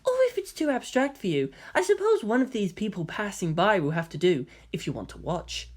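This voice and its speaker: A woman voicing a coy, androgynous hybrid youth with genteel, gently teasing politeness and quiet menace. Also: mid-range voice, sing-song, fairly quick. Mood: softly menacing.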